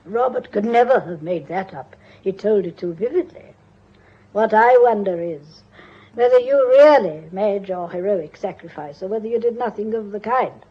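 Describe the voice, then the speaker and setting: A woman playing a shrewd, accusing wife in a radio drama, speaking in a deliberate, probing way. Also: mid-range voice, expressive, slightly rough, muffled recording. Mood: quietly accusing. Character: shrewd, accusing married woman confronting a killer.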